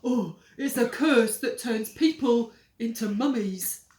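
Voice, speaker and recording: Woman voicing Horrid Henry, loud blustering schoolboy. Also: on the high side, takes it slowly, distant mic. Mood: scheming, ominous. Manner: forceful, melodramatic, sly. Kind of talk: children's storybook reading. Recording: roomy echo.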